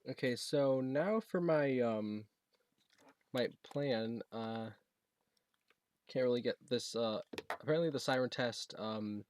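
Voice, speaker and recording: A man talking casually and haltingly, thinking aloud as he demonstrates a gadget he is setting up. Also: medium pitch, darkish tone, slight background noise. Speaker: product reviewer demonstrating a gadget. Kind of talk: casual unscripted unboxing and setup demo.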